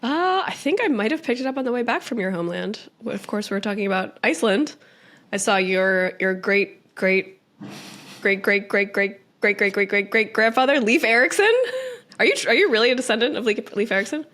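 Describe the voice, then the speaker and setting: Slightly raspy woman with a cold. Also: mid-range voice, expressive, brisk, fairly bright tone. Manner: playful, laughs often. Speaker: podcast co-host. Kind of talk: casual chat.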